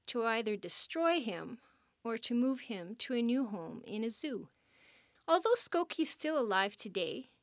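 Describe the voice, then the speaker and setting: A woman reading a scripted educational story as a park interpreter, with expressive, deliberate phrasing suited to families. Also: fairly high pitch, no echo, studio quality.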